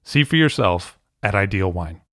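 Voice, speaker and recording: A man hosting a podcast, asking brisk, upbeat questions and reading ads. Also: sits low, expressive, fairly bright tone, slight background noise. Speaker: podcast host. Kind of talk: podcast interviewing and ad read. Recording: dry close-mic studio sound.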